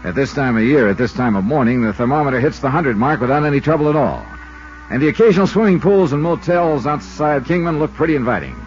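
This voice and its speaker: Wry, lively 1950s radio detective played by an actor. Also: male voice, medium pitch, quick pace, natural voice. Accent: American. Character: wry, self-assured male freelance insurance investigator. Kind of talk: 1950s radio detective drama narration and dialogue. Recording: dull 1950s radio recording, loud music.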